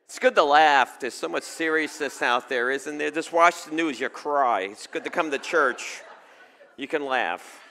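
New York pastor preaching in a hall. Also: male voice, a little high, unhurried, creaky. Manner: rises to loud fervent preaching then drops to easy chat. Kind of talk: sermon. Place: church stage before a seated congregation. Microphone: stage mic at a pulpit. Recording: long hall reverb.

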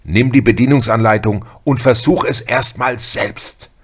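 Husky middle-aged man hosting a podcast. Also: mid-range voice, measured pace, fairly bright tone. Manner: chatty, informal, direct advice-giving. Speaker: podcast host. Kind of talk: casual spoken podcast monologue addressed to listeners.

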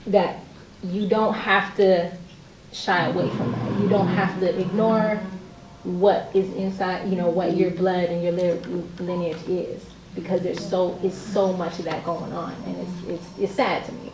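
A young adult woman painter chatting casually on a panel stage, animated and full of fillers. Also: fairly low pitch, a little slow, background noise. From Puerto Rican heritage. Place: large hall, on stage. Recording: echoey hall from far off.

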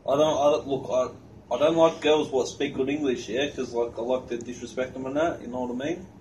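A man's voice: mid-range voice, steady tone, brisk, darkish tone. A man in his thirties with an Australian accent, talking in crude casual slang as a prank caller. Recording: distant phone-camera pickup with noise.

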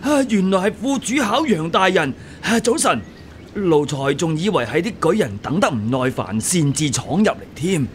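Animated, fawning guard. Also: male voice, fairly high pitch, normal speed, slight background noise. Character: officious, fawning exam-hall guard. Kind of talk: Cantonese radio drama acting.

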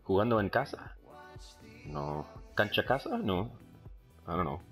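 Chilean-tinged Spanish from an English speaker. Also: male voice, on the low side, slow, faint music behind. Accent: Chilean Spanish, English-speaking learner. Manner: mixes Spanish with English, hesitant, frequent fillers; bursts of loud excitement at plays. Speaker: gaming YouTuber. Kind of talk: casual gameplay commentary.

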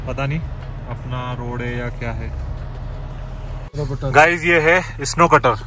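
A man in his thirties narrating a casual, excited travel vlog to his viewers while driving a car along a mountain road. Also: medium pitch, slow, slight background noise. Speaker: travel vlogger.

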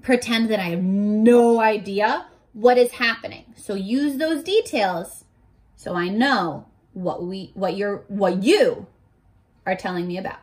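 A woman in her twenties reading aloud and teaching young children in an animated, encouraging way, pausing to ask questions, recorded at arm's length indoors. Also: mid-range voice. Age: 20s. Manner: animated, encouraging, asks questions and pauses for answers. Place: small furnished bedroom, indoors.

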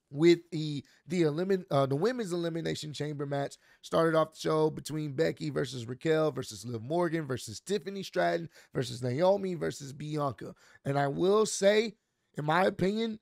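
A man in his thirties with a hoarse, worn-out voice, casually recapping a wrestling show for his channel from a home studio. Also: a little high, dry recording. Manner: animated, talks with his hands, loose slangy delivery. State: hoarse, voice mostly gone. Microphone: large studio mic on boom arm. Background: soft instrumental music.

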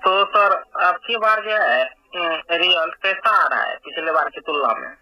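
A man's voice: a little high, expressive, a little slow, bright, forceful tone, no echo, soft background music. A man making a polite, deferential but persistent inquiry on a recorded phone call. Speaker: caller.

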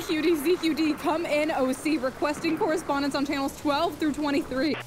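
A woman's voice: high-pitched, fairly even intonation, brisk, background noise, natural voice. A woman playing a desperate space comms officer in a sci-fi audio drama, making formal distress calls. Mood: urgent, pleading. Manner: formal radio-call phrasing, urgent.

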